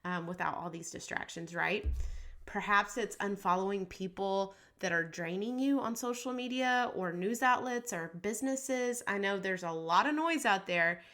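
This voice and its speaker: A woman's voice: medium pitch, moderate pace, a bit bright. A woman hosting a podcast, talking in an upbeat, reassuring and chatty way, her voice a little scratchy from allergies. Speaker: podcast host. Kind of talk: casual podcast pep talk.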